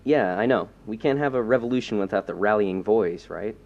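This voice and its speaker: A man playing an earnest young self-styled superhero in an audio drama, talking informally and haltingly. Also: on the low side, vocal fry. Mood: reassuring, teasing. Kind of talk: naturalistic audio drama dialogue. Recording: slightly dull, muffled recording.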